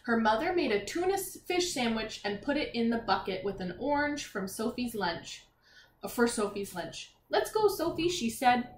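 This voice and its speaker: A woman's voice: a little high, lively intonation, moderate pace. A woman teacher reading a children's picture book aloud, expressively, with encouraging asides and questions to young listeners. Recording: echoey room with faint noise.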